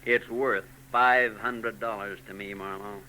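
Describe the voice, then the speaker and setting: A man's voice: on the low side, steady tone, measured pace, bright, forceful tone, poor audio. An actor playing a guarded, curt wealthy male engineer in a 1940s radio drama. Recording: old radio broadcast tape with faint noise.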